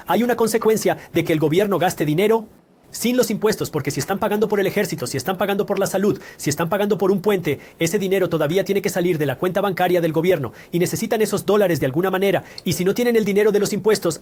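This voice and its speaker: Fast, husky-voiced man explaining finance. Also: high voice, darkish tone. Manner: direct, asks questions and answers them. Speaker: personal finance educator. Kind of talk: scripted explainer addressing the viewer.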